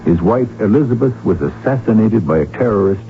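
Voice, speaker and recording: A man's voice: fairly low pitch, expressive, brisk, gravelly. A man with an American accent hosting a radio drama with dramatic, emphatic scripted narration. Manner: theatrical, emphatic. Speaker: host. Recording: muffled old radio broadcast with music.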